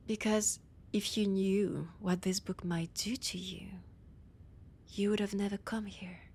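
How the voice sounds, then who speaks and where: An ancient female vampire scholar with a French accent, played by a woman in a roleplay audio drama. She talks slowly and deliberately at a middling pitch, often sinking into a breathy whisper. Her manner is proud, teasing and predatory. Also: darkish tone, slight background noise, close to an everyday voice. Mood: menacing, excited.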